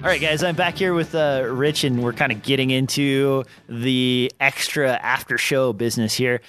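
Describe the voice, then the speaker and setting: A man's voice: mid-range voice, steady tone, moderate pace, over music. A man with a slightly nasal voice hosting a casual podcast, rambling with fillers, close on a dynamic studio mic. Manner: rambling, with many fillers and restarts. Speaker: podcast host. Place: small home studio. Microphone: studio dynamic microphone on an arm.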